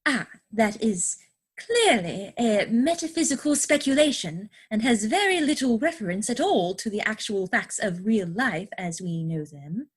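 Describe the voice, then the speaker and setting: A woman's voice: medium pitch, lively intonation, breathy edge. A woman playing a romantic, willful upper-class young lady in a theatrical period comedy, with an affected, gushing manner. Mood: haughty, prim. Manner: affected, gushing, grandly drawn-out delivery.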